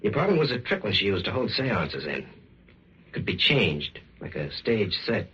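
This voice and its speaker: An actor playing a firm, no-nonsense police detective, brisk and insistent, with a rough voice in old-time radio suspense. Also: male voice, on the low side, very animated, a bit bright, exaggerated voice. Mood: stern accusing. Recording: dull vintage radio recording.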